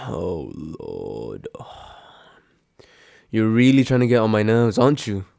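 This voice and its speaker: A man's voice: fairly low pitch, huge pitch swings, slow, creaky, a little mellow, no echo, full character voice. A young man acting a grumpy, sarcastic but secretly caring roommate woken from sleep, grumbling and sighing in an intimate roleplay audio drama. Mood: annoyed. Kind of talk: intimate roleplay audio drama, one side of a phone call.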